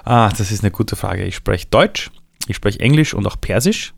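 Gravelly low-voiced man from Iran, Viennese accent. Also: moderate pace, no room sound, clean studio sound. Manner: lively storytelling, shifts voice for quotes. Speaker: interviewee. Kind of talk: relaxed interview talk.

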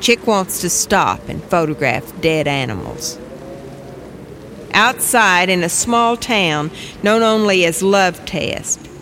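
A narrator reading a scripted spoken-word story in terse, measured phrases. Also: mid-range voice, background noise. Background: music under the voice.

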